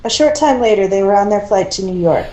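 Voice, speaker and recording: A woman with a mellow, mid-pitched voice reads a fan-written story aloud. She handles both narration and dialogue. The amateur recording is noticeably roomy and echoey. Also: lively intonation, brisk. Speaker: fan fiction reader.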